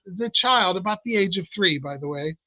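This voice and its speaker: A man with a fairly high voice explains hypnosis in a relaxed interview, often restarting his sentences. His voice sounds dry and a bit dull, like remote audio. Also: normal speed, no echo. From Italian American family. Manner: explanatory, many restarts and fillers. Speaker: clinical hypnotist guest. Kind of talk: casual interview talk.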